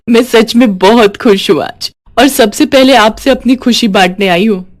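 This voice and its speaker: A woman's voice: mid-range voice, natural voice. A woman voicing a humble, grateful working mother, earnest and effusive, in a storybook tale. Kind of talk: storybook character voice.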